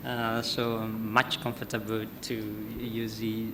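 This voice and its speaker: A man with a Tanzanian accent whose first language is Nyaturu, speaking on a panel as a farmer representative in a measured, hesitant way. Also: lowish voice, monotone, background noise. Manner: measured, hesitant, many fillers. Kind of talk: panel remarks mixing Swahili and English.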